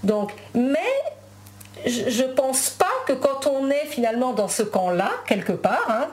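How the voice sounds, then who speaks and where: A woman's voice: fairly high pitch, measured pace, darkish tone. A woman whose first language is Spanish, a guest in a casual interview chat, talking with many fillers and restarts.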